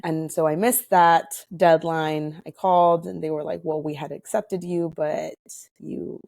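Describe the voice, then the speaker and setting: Interview guest: American woman, deep mellow voice, conversational storyteller, dry sound. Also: fairly even intonation, measured pace, gravelly, no room sound. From Hawaii. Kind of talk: casual personal storytelling in conversation.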